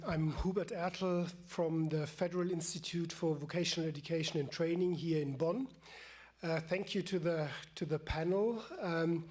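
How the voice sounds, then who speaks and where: High-voiced man asking a careful question. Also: a little mellow. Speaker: audience member from a vocational training institute. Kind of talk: unscripted formal question to a panel.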